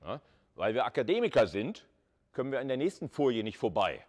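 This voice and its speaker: A man's voice: medium pitch, big pitch swings, brisk, darkish tone, slight background noise. A man lecturing at a university in an informal, conversational way, thinking aloud and putting questions to students.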